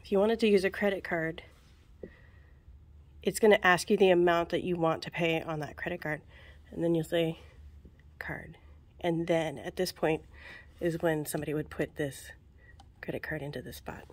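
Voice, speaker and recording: A woman casually walking through book fair checkout steps at a school register, chatty, with ums and quick asides, recorded on a handheld phone. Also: low voice, fairly even intonation. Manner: chatty, with frequent ums, asides and self-corrections. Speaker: book fair volunteer trainer. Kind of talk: casual how-to walkthrough. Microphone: phone camera held over the register.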